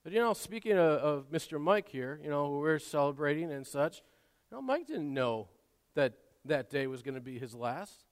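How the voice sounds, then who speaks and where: A man preaching a sermon, swinging between quiet asides and loud, impassioned exhortation, often repeating and restarting words. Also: fairly high pitch, big pitch swings, normal speed, clear, resonant tone. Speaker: pastor. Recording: long hall reverb.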